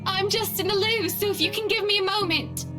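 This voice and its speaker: An actress voicing a polite, flustered young wife in a video game. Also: female voice, very high-pitched, fairly even intonation, fairly quick. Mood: flustered, apologetic. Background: loud game music.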